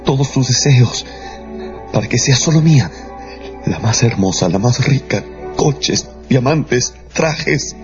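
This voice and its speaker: An actor playing an insistent, theatrical gentleman in a radio drama. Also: medium pitch, animated, slow. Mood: ardent, pleading. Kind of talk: Spanish-language radio drama acting. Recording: dull radio drama with music behind.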